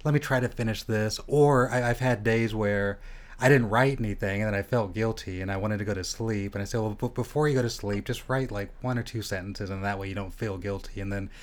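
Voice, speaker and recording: A man's voice: lowish voice, brisk. A man hosting a podcast, chatting casually with a guest and often stopping to restart his sentences. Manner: loud theatrical intro, then relaxed and rambling. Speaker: podcast host. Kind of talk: casual interview chat. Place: Las Vegas home studio.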